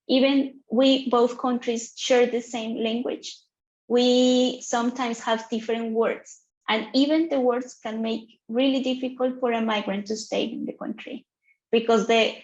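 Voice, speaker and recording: A woman from Colombia with a Colombian Spanish accent, a native Spanish speaker, presenting earnestly on a panel in halting English with restarts over a video call. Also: on the high side, roomy sound, highs cut off. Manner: earnest, halting second-language phrasing with restarts.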